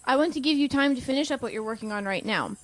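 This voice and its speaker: An actress playing a kindly but reserved professor in an audio drama, caring and measured in manner. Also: female voice, high-pitched, lightly acted. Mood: apologetic but firm. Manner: caring, measured, calls listener dear. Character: kindly, reserved female professor, gently firm.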